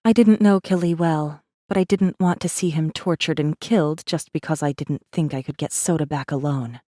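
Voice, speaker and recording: Woman acting guarded rebel heroine, very low mellow voice, dry studio sound, intimate first-person audiobook narration, sardonic edge. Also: fairly even intonation, moderate pace, dry recording, lightly acted. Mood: guarded, brooding. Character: guarded, wry rebel woman messenger narrating her own story.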